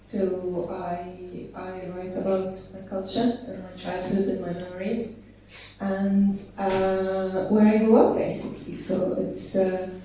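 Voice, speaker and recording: A woman from Syria, an illustrator and author, talking slowly and haltingly about her work on a conference panel. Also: medium pitch, level tone, dark tone, slight background noise. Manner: slow, halting, repeats words. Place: conference hall. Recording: echoey hall, distant mic.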